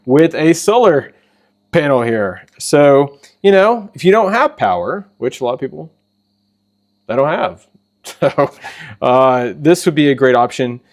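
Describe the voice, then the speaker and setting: A man hosting a casual product walkthrough, talking in an informal, halting and rambling way. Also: fairly high pitch, darkish tone. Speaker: host of a preparedness channel.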